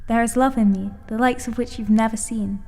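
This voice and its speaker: A woman's voice: a little high, steady tone, brisk, darkish tone, natural voice. A young woman gives a solemn, declamatory dramatic reading as anguished, horrified figures from gothic literature. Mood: anguished, brooding. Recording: echoey hall with background noise.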